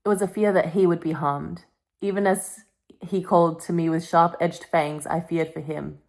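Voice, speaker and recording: A woman's voice: lowish voice, fairly even intonation, normal speed, vocal fry, darkish tone. A young woman vlogging in a casual, confessional way, with a melodramatic, wry and self-mocking manner. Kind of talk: casual confessional vlog with dramatic book reading.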